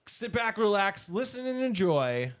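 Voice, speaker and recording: A man's voice: high voice, big pitch swings, unhurried, no room sound, studio quality. A man in his thirties co-hosting a podcast in a casual, playful chat, with a jokey, bantering way of talking. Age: 30s. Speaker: podcast co-host.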